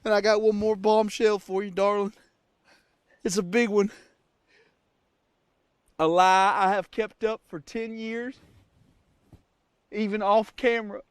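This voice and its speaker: Guy playing a freezing starving tv survival guy, cusses a lot, high voice, bone dry sound. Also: fairly even intonation, normal speed, hardly any pauses, darkish tone, clean tone, no room sound. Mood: confessional. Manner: profane, emotional confession to camera. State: cold, hungry and weary. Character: stranded macho survival-show star, desperate and boastful. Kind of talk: comedic monologue.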